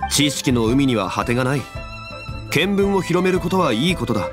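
A man plays a dignified, learned gentleman in an anime game. He speaks in a mid-pitched voice, measured and formal, with composed modesty. Music plays clearly behind him. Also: sing-song, lightly acted. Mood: gracious. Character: calm, dignified, scholarly gentleman, young-looking but old-fashioned. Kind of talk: Japanese anime game voice acting.

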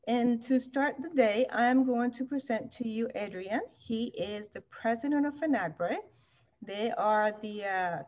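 A woman's voice: a little high, darkish tone. Upbeat woman host, 30s, eager intros, slightly muffled call audio. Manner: bubbly, enthusiastic, repeats words for emphasis. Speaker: event host. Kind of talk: casual welcome introduction. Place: indoors, in front of a projection screen. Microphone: video call.

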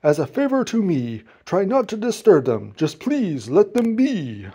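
A man voicing an earnest, gentle elephant in a storybook, protective and worried, with emphatic, pleading delivery. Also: sits high, wildly swooping pitch, unhurried, slightly rough, pitched above the performer's own voice, exaggerated voice.

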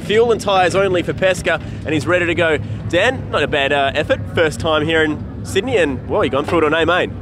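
A young man reports from the pits on a handheld mic. He speaks quickly in a fairly high voice, with clear engine noise around him. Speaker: pit reporter interviewing drivers. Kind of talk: live trackside interview. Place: outdoor speedway pit area at night. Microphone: handheld microphone.

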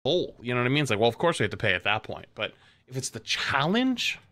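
A man's voice: mid-range voice, huge pitch swings, fast, fairly bright tone. A man in his thirties streaming a reaction, chatting bluntly with strong opinions into a headset mic. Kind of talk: casual live-stream reaction.

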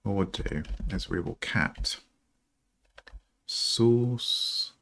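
A middle-aged man with a rough morning voice, thinking aloud slowly and haltingly with many ums while he codes at his desk. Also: sits low, a bit bright. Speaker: programmer streaming a coding session.

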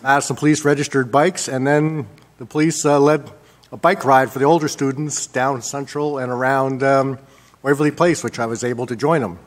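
A man in his sixties with an American accent gives measured mayoral remarks at a public meeting, pausing often as he works from notes. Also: mid-range voice, fairly even intonation. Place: indoor council chamber. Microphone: gooseneck desk microphone.

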